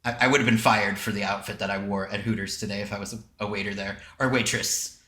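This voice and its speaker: A man's voice: on the low side, fairly even intonation, quick pace, bright, forceful tone. An American man co-hosting a podcast in casual, joking, rambling chat. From southern United States. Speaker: podcast co-host. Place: indoors on a video call. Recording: roomy, echoey sound.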